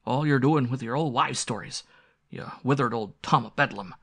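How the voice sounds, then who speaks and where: Man voicing storybook country squire, blustering, fearful, hot-tempered, raised emphatic voice, gravelly edge. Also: mid-range voice, normal speed, fairly bright tone, voice pushed up. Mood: angry, scornful. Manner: blustering, rustic, emphatic speech.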